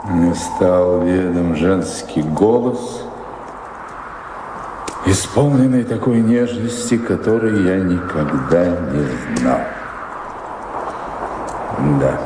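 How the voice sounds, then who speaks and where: Slow, low-voiced melancholy baron in reverb. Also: male voice, noisy background. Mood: brooding. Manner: slow, measured, brooding and commanding. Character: melancholy baron, master of the house, yearning for faraway seas. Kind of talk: theatrical radio drama acting. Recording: reverberant hall, music behind.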